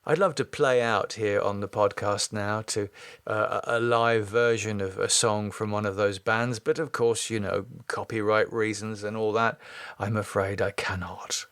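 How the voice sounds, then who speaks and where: A man's voice: fairly low pitch, steady tone, normal speed, a bit bright, clear, resonant tone, no room sound, studio quality. A middle-aged British man hosting a podcast, reading his own writing and talking casually to listeners. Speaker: podcast host and writer. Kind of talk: scripted screenplay reading and casual podcast talk.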